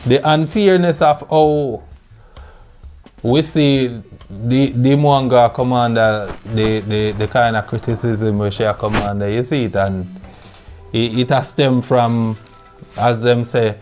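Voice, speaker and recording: A Jamaican man hosting a podcast in relaxed patois, often adding "you see it". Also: medium pitch, takes it slowly, faint music behind. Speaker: podcast host. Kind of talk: casual chat.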